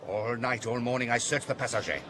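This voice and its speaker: An actor playing a proud, pedantic French police prefect with a French accent and dramatic foreign phrasing in a radio play. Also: male voice, medium pitch, a little fast, background noise, lightly acted. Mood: proud, intense. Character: proud, theatrical French police prefect hunting a master thief.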